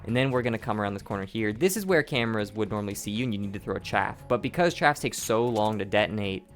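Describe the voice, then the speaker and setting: A man with a hoarse, sore throat giving casual commentary over his own speedrun, explaining each step, often restarting his sentences. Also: lowish voice, animated, quick pace, soft background music. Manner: explanatory, rambling, with frequent restarts and profanity. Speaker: gameplay commentator.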